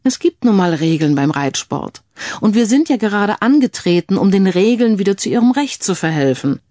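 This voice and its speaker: A woman's voice: mid-range voice, sing-song, moderate pace, warm tone, no echo, studio quality, natural voice. A woman voicing a confident, no-nonsense female detective in an audio crime story. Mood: firm, explaining.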